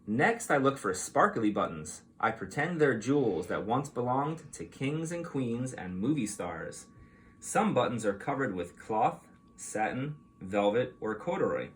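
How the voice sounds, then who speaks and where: A man reading a picture book aloud to young children like a teacher, in an expressive, explanatory way. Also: mid-range voice, a little slow, a little hiss or hum.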